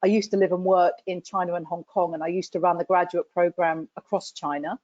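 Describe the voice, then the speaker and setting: Confident, low-voiced woman from the UK. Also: steady tone, a little fast, a little mellow, no room sound. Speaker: graduate recruiter. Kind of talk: online panel introduction. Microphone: video call. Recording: dry, dull video call audio.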